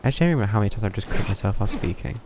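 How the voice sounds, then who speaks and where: A man's voice: on the low side, expressive, racing, heavy background noise. A man giving casual live gameplay commentary, muttering and thinking aloud to himself. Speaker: game streamer.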